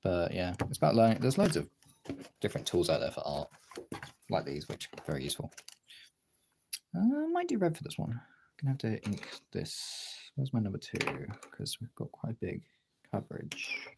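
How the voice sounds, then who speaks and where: Man, lowish pitch, gravelly fry, casual art streamer chat, informal, rambling, thinking aloud. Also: huge pitch swings, moderate pace, background noise. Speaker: streamer, artist. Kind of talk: casual chat while demonstrating drawing.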